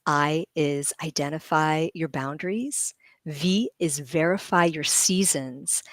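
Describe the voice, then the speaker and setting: Animated middle-aged woman, dry sound. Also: low-pitched, gravelly, no room sound. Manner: animated, laughs often, vivid analogies. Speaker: guest speaker on leadership. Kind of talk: livestream panel talk.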